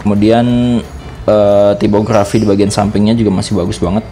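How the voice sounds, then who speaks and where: A man's voice: on the low side, little pitch movement, fairly quick, mellow, soft background music. A man with a Jakarta Indonesian accent giving a casual product review, explaining things informally step by step as a vlogger.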